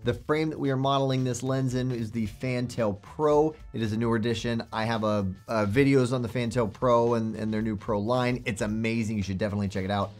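A man's voice: medium pitch, steady tone, fairly quick, few pauses, a little mellow. A young man hosting a product review to camera, chatty and enthusiastic in a salesman way, with faint music behind him. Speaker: host.

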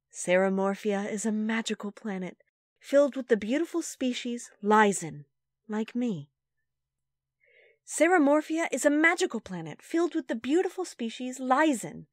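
Woman voicing a gentle magical creature mother. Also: medium pitch, no echo, clean studio sound, voice pushed down. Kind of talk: animated character audition lines.

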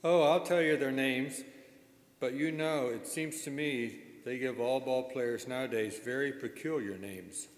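A man's voice: mid-range voice, a little slow, natural voice. A man playing the matter-of-fact straight man of a comedy duo in an old-time radio sketch. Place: stage in a church-like hall, live show. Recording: echoey hall.

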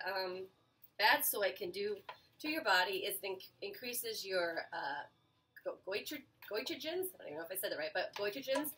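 A woman's voice: medium pitch, sharp tone, a little hiss or hum, low-quality recording. A woman in her forties hosting a casual home cooking show, chatting to viewers as she cooks, with fillers and self-corrections. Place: small home kitchen. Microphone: camera mic a few feet away. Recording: home kitchen with clattering pans.

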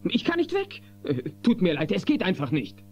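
A timid, evasive townsman stammers in a high, jumpy voice. It is hesitant radio play acting on a dull cassette. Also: huge pitch swings, moderate pace, breathy edge, full character voice. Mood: nervous, evasive. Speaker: actor in audio drama. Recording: dull cassette, music behind.

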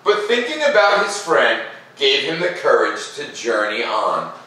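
Echoey, bright-voiced man reading aloud. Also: medium pitch, measured pace. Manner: informal, expressive when reading. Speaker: host of a children's read-aloud. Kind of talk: picture-book read-aloud with casual asides. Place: living room by a fireplace, indoors. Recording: echoey room, distant mic, faint noise.

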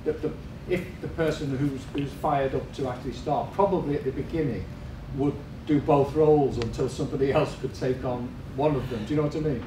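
A man's voice: medium pitch, a little fast, hardly any pauses. Far-off, echoey man asking from the floor. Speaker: audience member asking a question. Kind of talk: informal question from the floor. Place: meeting room, indoors. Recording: distant, echoey, noisy room pickup.

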